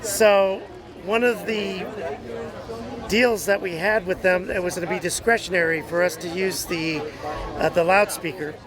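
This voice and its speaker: An American man, a rally organizer, explaining things haltingly in an unscripted outdoor interview. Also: high-pitched, fairly even intonation. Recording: outdoor crowd noise behind voice. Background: crowd chatter.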